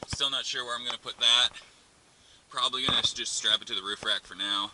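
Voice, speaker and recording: Male DIY vlogger, offhand and informal how-to talk, medium pitch, clearly noisy amateur audio. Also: fairly even intonation, a little fast. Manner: informal, offhand, mildly crude slang. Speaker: vlogger, DIY car channel host. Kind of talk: casual hands-on how-to narration.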